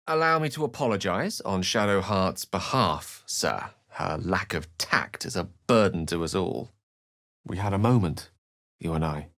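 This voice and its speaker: An actor playing an eloquent, wry and melancholy wizard in a video game, with articulate, theatrical phrasing. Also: male voice, sits low, a bit bright, no room sound, studio quality. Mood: courteous, wry, then wistful. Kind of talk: video game character dialogue and narration.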